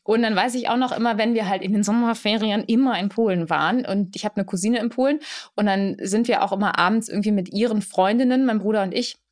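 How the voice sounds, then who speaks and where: A woman hosting a casual talk podcast, speaking in an easy, conversational way. Her voice sits at an ordinary middle pitch for a woman. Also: quick pace. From Germany. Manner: relaxed, conversational, with fillers like "ne?". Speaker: podcast host. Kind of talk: casual interview chat.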